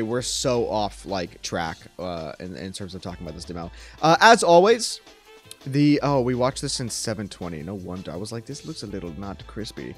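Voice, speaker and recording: A young man streamer giving casual, slangy, enthusiastic reaction commentary into a close desk microphone. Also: lowish voice. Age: young adult. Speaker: streamer reacting to game trailers. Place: small room at home.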